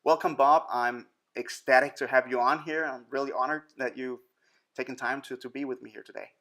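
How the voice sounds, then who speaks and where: Enthusiastic halting podcast host, Danish native. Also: male voice, mid-range voice, steady tone, fairly bright tone. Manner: enthusiastic, halting with fillers and restarts. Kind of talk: interview podcast.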